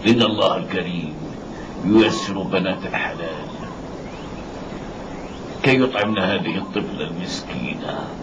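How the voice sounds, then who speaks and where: An actor playing a devout, tender blind widowed father in a dubbed cartoon, pleading and gentle in manner. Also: sits low, moderate pace, long pauses. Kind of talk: dubbed cartoon acting in formal Arabic. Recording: muffled old cartoon soundtrack with background noise.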